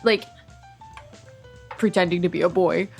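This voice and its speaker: A woman's voice: on the low side, fairly even intonation, unhurried, creaky, over music. A woman co-hosting a roleplay podcast, chatting casually and reassuringly with lots of fillers. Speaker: podcast co-host.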